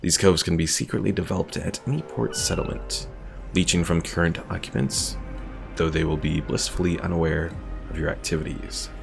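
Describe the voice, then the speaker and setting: A male streamer with a very low, gravelly voice walks through game rules in a measured, explanatory manner. Soft game music plays under his voice. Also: steady tone. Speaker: gaming streamer.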